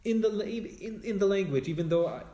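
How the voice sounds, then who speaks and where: A Hebrew-speaking man from Israel with an Israeli accent, a spiritual teacher and author, talking reflectively in English in a personal conversation, often pausing and restarting as he searches for words. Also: sits high, expressive, warm tone, roomy sound.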